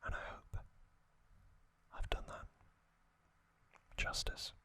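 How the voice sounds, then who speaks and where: Man whispering slowly, ASMR style. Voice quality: breathy, unvoiced whisper. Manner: slow, gentle, short phrases with long pauses. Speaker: ASMR video host.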